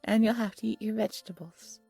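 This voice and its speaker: A woman voicing an old grandmother storyteller in an audio drama, speaking in a breathy, hushed and deliberate way. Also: medium pitch, fairly even intonation, no room sound. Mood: doting, teasing. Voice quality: breathy, whispery. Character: gentle old grandmother storyteller, turning eerie and insistent. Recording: music under the voice.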